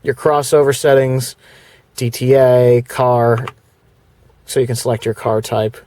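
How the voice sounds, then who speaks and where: A man talking casually as he shows off the stereo in his car, chatty and relaxed, with the odd filler and restart. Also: mid-range voice, a little slow, no room sound. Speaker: car audio reviewer. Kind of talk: casual hands-on product demo. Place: inside a car. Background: faint music from the car stereo.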